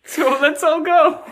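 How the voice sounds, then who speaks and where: Someone doing a pompy egyptian official guy w fake italian accent, broken grammar, slow and stern, faint room noise. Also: high-pitched, pitched above the performer's own voice. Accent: mock Italian-sounding Middle Eastern accent. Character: pompous ancient Egyptian librarian turned general, man. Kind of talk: improv comedy.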